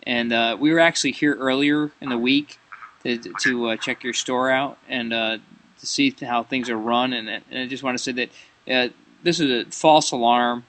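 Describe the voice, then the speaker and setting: A man's voice: mid-range voice, steady tone, moderate pace, fairly bright tone, a little hiss or hum. A man improvising a prank phone call as a comedy host, rambling and stammering and often repeating himself.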